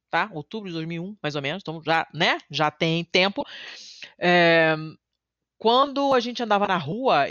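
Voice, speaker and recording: Woman chatting, lively and swearing a bit, dry close sound, pitch jumps way up when she gets excited. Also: no echo, lo-fi sound. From Rio de Janeiro, Brazil. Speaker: podcast guest living in Italy. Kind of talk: casual conversation.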